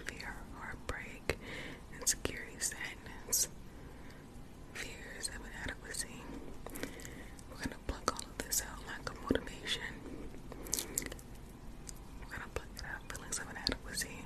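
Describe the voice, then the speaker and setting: A woman whispering softly and soothingly in ASMR style, repeating gentle phrases close to the microphone. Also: unhurried, long pauses, rough recording. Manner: soft, soothing, repetitive whisper. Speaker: ASMR livestream host.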